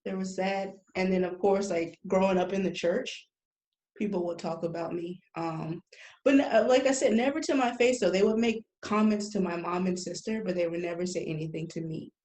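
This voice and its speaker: A woman's voice: on the low side, moderate pace, a little mellow. A young woman talking candidly and colloquially as a guest in a casual podcast conversation. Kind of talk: casual group chat. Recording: echoey room.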